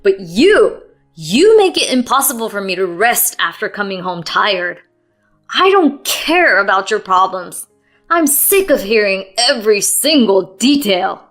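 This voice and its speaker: Brief: a woman playing a domineering husband in a story, harsh shouted orders, slow delivery, soft music behind. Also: medium pitch, expressive. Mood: furious. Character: angry domineering young husband, man.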